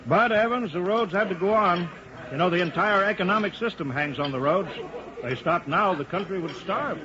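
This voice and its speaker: A man plays a commanding middle-aged chief engineer in a 1950s radio drama. His voice sits fairly high, with clipped, authoritative delivery. The old recording is muffled, with a crowd behind him. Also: normal speed, natural voice. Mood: assured. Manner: authoritative, clipped, urgent orders. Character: commanding, brisk chief engineer of futuristic rolling roads. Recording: muffled vintage radio broadcast with background crowd.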